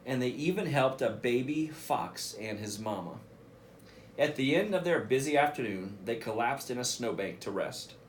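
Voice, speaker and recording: A man, a school principal, reading a picture book aloud for children in an expressive way, with casual asides. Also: medium pitch, normal speed, a little hiss or hum. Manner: expressive, addresses children directly.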